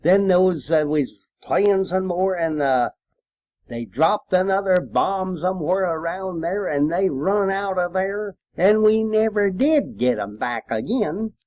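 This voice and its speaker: A man's voice: high-pitched, expressive, unhurried, no echo, lo-fi sound. An older man with a Southern American accent tells his war memories as a veteran, in a folksy, rambling, informal way. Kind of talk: informal oral-history storytelling.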